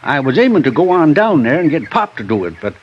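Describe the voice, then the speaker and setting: An actor playing a folksy older rural American prospector with a rambling drawl, in 1950s radio drama style. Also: male voice, on the high side, very animated, quick pace. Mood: hesitant, uneasy. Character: folksy older male rock-moving prospector, plain-spoken. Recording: muffled, noisy old radio recording.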